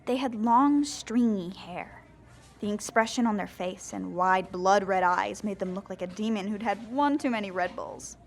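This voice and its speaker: Woman as an earnest young zombie narrator, mid-pitched. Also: measured pace, lightly acted. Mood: wry. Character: candid young female zombie narrating her own story. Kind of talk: first-person scripted narration.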